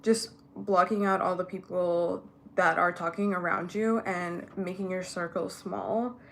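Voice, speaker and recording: A woman's voice: on the low side, fairly even intonation, unhurried, vocal fry, slight background noise. A young woman from Ethiopia whose first language is not English, chatting candidly to camera while getting ready, with many fillers. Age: young adult. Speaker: vlogger. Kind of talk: casual get-ready-with-me chat.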